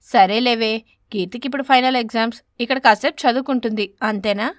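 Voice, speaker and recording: Casting: obliging pigeon neighbor for an animated tale, high-pitched and chatty , done by a woman. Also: a little fast, no echo, studio quality, natural voice. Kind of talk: animated bedtime story character.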